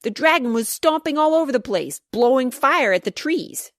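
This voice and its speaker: A woman voicing an excitable young boy telling a dragon tale with dramatic emphasis for a children's storybook. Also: sits high, moderate pace, dry recording, studio quality, pitched above the performer's own voice. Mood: thrilled. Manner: dramatic, emphatic, building suspense.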